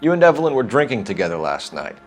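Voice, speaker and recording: Guy doing stern young american detective, video game style, clipped n formal, swingy pitch, music behind. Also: big pitch swings, fast talker, close to an everyday voice. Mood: accusing. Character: stern, dogged young male police detective. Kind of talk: dramatic video game acting.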